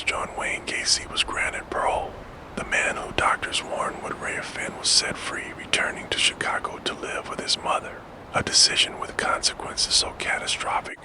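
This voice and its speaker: Whispering man narrating softly. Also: extremely high pitch, poor audio. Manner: unvoiced whisper, slow and deliberate. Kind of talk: ASMR whispered true crime narration.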